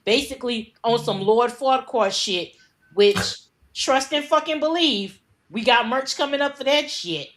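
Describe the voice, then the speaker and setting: A woman's voice: fairly high pitch, animated, unhurried. A woman from the United States co-hosting a news podcast, ranting bluntly and with plenty of profanity. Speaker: podcast co-host. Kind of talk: heated casual news commentary.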